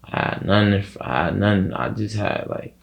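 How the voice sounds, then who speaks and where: A young man with a Chicago accent speaks in a deep register with a creaky fry. He talks casually as an interview guest, circling through his points in slangy, sweary phrases. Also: lively intonation, normal speed. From Chicago, USA. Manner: rambling, heavy slang and swearing. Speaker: rapper being interviewed.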